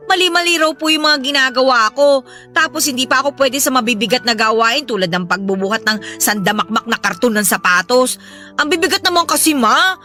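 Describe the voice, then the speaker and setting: High, bright-voiced aggrieved Filipino daughter. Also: female voice. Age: young adult. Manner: complaining, self-defending, politely reproachful. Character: put-upon, clumsy, frank young Filipino daughter. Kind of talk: melodramatic Filipino audio drama acting.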